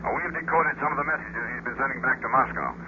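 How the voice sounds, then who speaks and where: Man playing a gruff colonel, dull radio sound. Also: medium pitch, quick pace, close to an everyday voice. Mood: matter-of-fact. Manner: brusque, authoritative. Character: gruff, exasperated American military colonel at launch base. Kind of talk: 1950s radio drama acting. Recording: dull, hissy 1950s radio recording.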